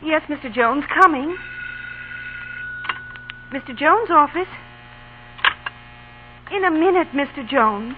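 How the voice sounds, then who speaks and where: An actress playing a harried, obliging office secretary in an old radio commercial. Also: female voice, high-pitched, lively intonation, takes it slowly, long pauses. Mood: busy, flustered. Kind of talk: 1930s-40s radio commercial acting. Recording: tinny, noisy old radio, mains hum.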